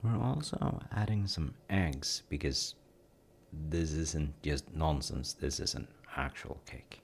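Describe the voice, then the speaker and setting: A man playing a gentle, playful dad in a cozy baking audio roleplay, talking casually and softly to the listener. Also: rumbling low, slow pace, vocal fry, full character voice. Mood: cozy, gently playful. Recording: faint noise, kitchen sounds.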